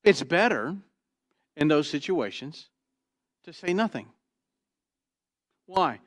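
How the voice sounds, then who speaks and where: Booming preacher, dry close sound. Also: male voice, big pitch swings, takes it slowly, no room sound. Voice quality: booming trained voice. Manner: emphatic, swinging from loud to quiet, repeats "Why?". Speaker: pastor. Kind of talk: expository sermon. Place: church sanctuary.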